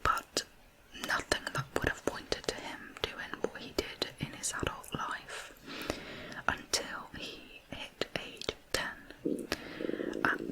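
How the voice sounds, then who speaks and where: A woman narrates true crime in ASMR style, in a soft, breathy, unhurried whisper. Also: low-quality recording.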